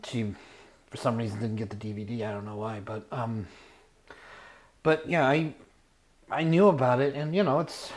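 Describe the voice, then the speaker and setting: A man telling a rambling personal story casually, halting as he searches for words and trails off. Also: mid-range voice. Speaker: storyteller, show host.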